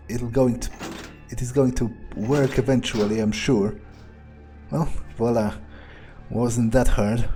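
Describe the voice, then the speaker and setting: A man improvising the thoughts of a cynical, power-loving vampire during a game playthrough, rambling and haltingly sardonic, with some swearing. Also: mid-range voice, mellow, natural voice. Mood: irritated. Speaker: player of a video game, speaking in character.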